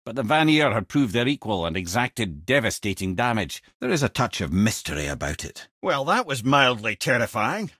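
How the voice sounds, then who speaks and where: An actor playing a wry old sage in a video game, an eloquent, theatrical storyteller with a Scottish accent. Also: male voice, mid-range voice, very animated, moderate pace, few pauses, a bit bright, dry recording, studio quality. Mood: wry storytelling.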